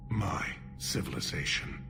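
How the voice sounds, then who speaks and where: Grave alien war leader. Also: male voice, deep voice, animated, unhurried, gravelly, heavily acted. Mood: solemn. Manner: solemn, grave, dignified. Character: weary alien military leader, male, grave. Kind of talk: video game voice acting. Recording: game cutscene with music.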